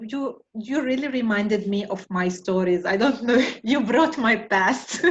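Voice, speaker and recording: A woman's voice: mid-range voice, muffled recording. A woman from Lebanon with a Lebanese accent, hosting an online mastermind group and sharing personal stories in a reflective way.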